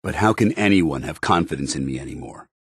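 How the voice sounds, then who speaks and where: An American man voicing a stern, earnest, duty-bound military commander in a video game, speaking in formal, measured phrases. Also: extremely low pitch, animated, husky, dry recording, heavily acted. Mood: self-doubting. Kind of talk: video game companion dialogue.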